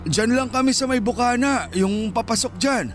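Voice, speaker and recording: An actor playing a shy, plaintive Filipino street vendor of sixty-five, speaking deferentially in a radio drama. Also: male voice, very high-pitched, normal speed, faint music behind. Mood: polite. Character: mild, shy 65-year-old Filipino vendor husband.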